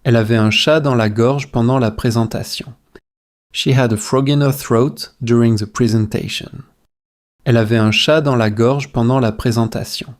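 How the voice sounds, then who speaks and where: A man reading language drills in a measured, repetitive way, phrase by phrase, as a teacher for shadowing practice. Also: mid-range voice, fairly even intonation.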